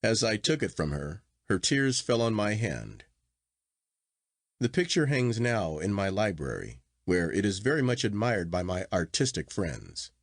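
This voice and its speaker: Low-voiced man reading a classic story expressively. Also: big pitch swings, measured pace, no echo, clean studio sound. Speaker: audiobook reader. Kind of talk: scripted literary narration of a classic story.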